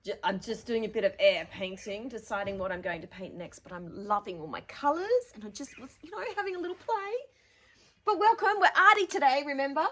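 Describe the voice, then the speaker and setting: A woman's voice: a little high, animated, brisk, pushed and bright, a little hiss or hum. A woman presenting a children's storytime, reading a picture book theatrically with dramatic emphasis and chatty asides to young listeners.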